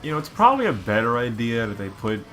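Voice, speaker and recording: A man's voice: mid-range voice, sing-song, quick pace, sharp tone. A man giving casual game commentary, chatty and rambling as he explains things. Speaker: let's play co-commentator. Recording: noticeable background noise.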